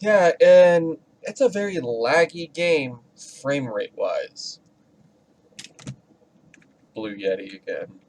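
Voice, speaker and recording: A man's voice: fairly high pitch, lively intonation, takes it slowly, long pauses, a little mellow, a little hiss or hum. A man giving casual gameplay commentary as a streamer, talking informally with rambling asides. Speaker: gaming streamer.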